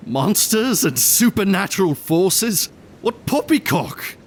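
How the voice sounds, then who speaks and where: Casting: male actor as emotional period gentleman, lovelorn and disbelieving, high-pitched, slow delivery, faint rumble. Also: sing-song, full character voice. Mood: scornful disbelief. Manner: indignant, emotional. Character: grieving, disbelieving Victorian gentleman, devoted lover. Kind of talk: audio drama acting, period speech.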